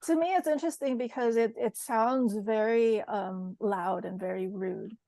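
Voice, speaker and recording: A woman's voice: fairly high pitch, a little slow, gravelly, dark tone, no room sound. A woman from Taiwan with an American accent tinged by Mandarin, chatting hesitantly on a video call with lots of ums and restarts. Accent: American English with a Mandarin tinge. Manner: hesitant, many ums and self-corrections. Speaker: course participant. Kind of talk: casual group chat on a video call.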